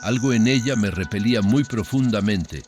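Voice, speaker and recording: A man's voice: on the low side, animated, moderate pace, a little mellow, faint music behind, close to an everyday voice. An actor playing a bitter, brooding older father, telling a horror story in a solemn, ominous, literary manner. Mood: brooding, uneasy. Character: brooding, bitter older father recounting his son's marriage. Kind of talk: dramatic horror audiobook narration.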